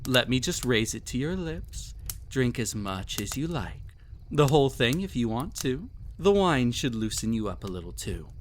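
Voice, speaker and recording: A man's voice: mid-range voice, sing-song, a little hiss or hum, natural voice. A man playing a cruel, possessive emperor with a haughty, silky, condescending manner in a scripted roleplay addressed to the listener. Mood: doting, mocking. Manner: haughty, silky, condescending, theatrically drawn-out delivery. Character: cruel, possessive, mocking young emperor pampering a captive pet.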